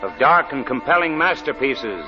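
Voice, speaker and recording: A man's voice: medium pitch, very animated, normal speed. A man announcing a radio show in a formal, theatrical 1940s style. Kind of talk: dramatic 1940s radio introduction. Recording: muffled 1940s radio recording, music behind.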